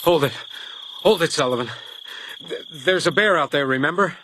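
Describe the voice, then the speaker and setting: Terse, bright-voiced, weary detective. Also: male voice, a little high, normal speed, slight background noise. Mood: urgent, stern. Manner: terse, clipped, world-weary. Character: weary hard-drinking male detective, guilt-ridden, defiant. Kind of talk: detective radio drama acting.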